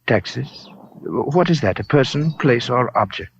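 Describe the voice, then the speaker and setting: An actor playing a huge, urbane, menacing gentleman in a radio crime drama, speaking in a florid, deliberate way. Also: medium pitch, animated, vocal fry. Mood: silky menace. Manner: florid, pompous, silky, deliberate. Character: huge, urbane, menacing gentleman collector of fake Oriental art. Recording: muffled, hissy old radio recording.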